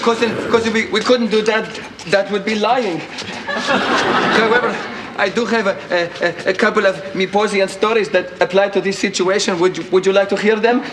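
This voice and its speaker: A man's voice: sits high, steady tone, normal speed, close to an everyday voice. A man playing a naive, earnest cousin. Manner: earnest, stammering, sweetly literal. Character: naive cheerful foreign male cousin. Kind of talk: sitcom acting. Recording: noisy TV soundtrack with audience.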